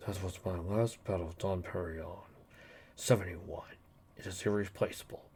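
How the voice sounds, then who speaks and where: A man voicing a cool, world-weary mercenary assassin with quiet, deadpan menace. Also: deep voice, normal speed, husky, voice pushed down.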